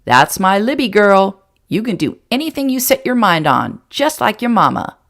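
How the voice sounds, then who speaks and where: A woman's voice: deep voice, big pitch swings, moderate pace, slightly rough, exaggerated voice. A woman voicing a kindly, folksy grandfather who serves as town mayor. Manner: folksy and reassuring.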